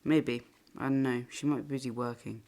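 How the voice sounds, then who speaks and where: A woman acting in an audio drama as a mild, patient young son in a wartime family, speaking in a measured, placating way. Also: rumbling low, fairly even intonation, dark tone.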